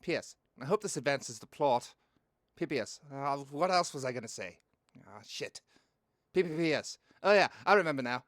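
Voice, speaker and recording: A man's voice: a little high, lively intonation, normal speed, a bit bright, dry recording, studio quality. A man playing an awkwardly self-conscious godlike note writer who rambles and interrupts himself, in a comedy radio play read. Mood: scatterbrained.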